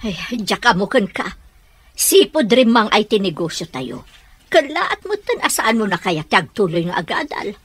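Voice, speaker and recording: Worried, earnest mother for radio drama; rough-edged husky voice, animated intonation. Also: medium pitch, moderate pace, no room sound. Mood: concerned, pleading. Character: worried, earnest mother urging her child to study.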